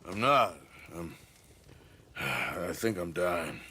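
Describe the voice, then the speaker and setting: A gruff, ailing outlaw with a deep, forceful American Western drawl. He speaks plainly and haltingly, tired and regretful, in a video game scene. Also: lively intonation, slight background noise, heavily acted. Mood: weary, regretful. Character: weary, ailing male outlaw gunman, gruff but regretful. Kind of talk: video game cutscene acting.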